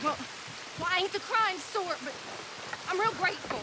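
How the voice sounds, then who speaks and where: An actress playing a meek, polite, grateful frontier wife in a video game drama. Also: female voice, very high voice, normal speed, heavy background noise. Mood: earnest.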